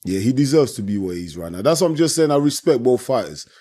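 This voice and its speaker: A man whose voice is hoarse and worn out, speaking in a mellow, warm tone. He talks casually and with passion, repeating himself and leaning on friendly filler words as he reacts on his own channel. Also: mid-range voice, very animated, fast. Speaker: boxing channel host. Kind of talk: casual post-fight reaction monologue.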